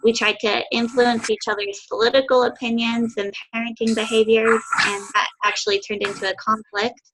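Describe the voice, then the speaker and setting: A young woman giving a class presentation over a video call, with a high voice and a slight lisp. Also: little pitch movement, normal speed, noisy background. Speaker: student presenter.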